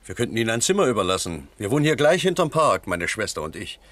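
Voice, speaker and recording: An actor playing a plain-spoken, hesitant village cattle-barn worker in a German radio crime drama. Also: male voice, lowish voice, big pitch swings, fast, a bit bright, no echo. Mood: helpful, hesitant. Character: village cattle-barn worker, startled but helpful witness.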